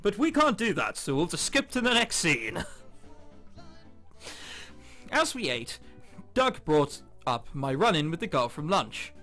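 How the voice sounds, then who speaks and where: A man's voice: fairly high pitch, big pitch swings, a little slow, long pauses, sharp tone. A man hosting a gaming video, reading a visual novel aloud with jokey, casual asides. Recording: music behind the voice. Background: game background music.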